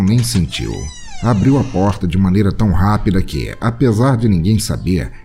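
A very deep, mellow male voice with a Brazilian accent narrates over music. He swings his pitch widely, telling a comic audio drama with deadpan drama. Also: husky. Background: music bed.

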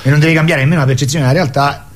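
A man's voice: on the high side, fairly even intonation, very fast. A middle-aged man chatting as a theatre performer being interviewed, plain-spoken and often restarting his phrases. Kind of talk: casual interview chat.

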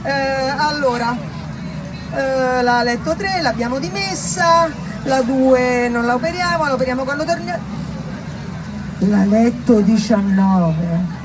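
A woman acts out a pompous, evasive head doctor in a theatre monologue. The voice is on the high side, brusque and piously dismissive. Loud crowd sound and music surround it. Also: lively intonation, slow, poor audio. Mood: callous, musing. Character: hurried, evasive, pious male head physician, self-important.

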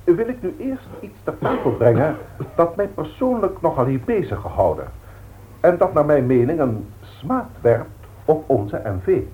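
An actor with a Dutch accent playing a formal, long-winded company director in a 1960s radio drama, speaking in measured, pompous speeches. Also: male voice, on the high side, big pitch swings, mellow, slight background noise. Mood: grave, stern. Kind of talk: 1960s radio drama acting, boardroom address. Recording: thin phone-like old radio recording.

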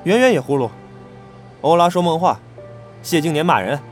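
Casting: flippant young idol played by a man, slightly high voice, highly expressive teasing, audio drama. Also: normal speed, faint music behind, natural voice. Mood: bantering, mischievous. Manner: playful, bantering. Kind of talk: Chinese audio drama dialogue.

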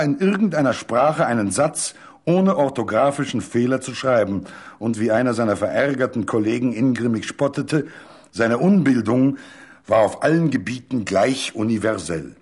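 A man reading literary narration for a radio production in an articulate, measured and expressive storytelling manner. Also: fairly low pitch, husky.

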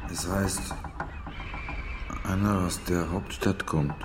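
An actor playing a stolid, fatalistic provincial man in a radio drama, laconic and deadpan. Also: low voice, takes it slowly, noisy background. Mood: gloomy, resigned. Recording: studio radio drama over music.

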